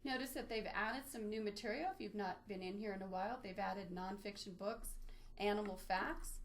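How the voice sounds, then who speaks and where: Woman, medium pitch, webinar trainer, instructional walkthrough, step-by-step explanatory delivery. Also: normal speed. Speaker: webinar presenter, library trainer. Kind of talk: instructional screen-share walkthrough, semi-scripted.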